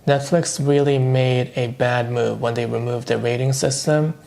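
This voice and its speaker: A man talking casually and thoughtfully on his own channel, giving low-key opinions with dry asides. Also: fairly even intonation, normal speed, gravelly, dark tone. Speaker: vlogger reviewing streaming shows. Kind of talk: casual solo commentary.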